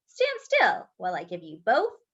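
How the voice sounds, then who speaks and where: Priest role read by a woman, storybook. Kindly man, unhurried, deliberate, lifted pitch. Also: huge pitch swings, fairly bright tone, slightly rough, exaggerated voice. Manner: slow, deliberate. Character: kindly, calm male priest. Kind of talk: storybook character voice. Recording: dull lo-fi recording.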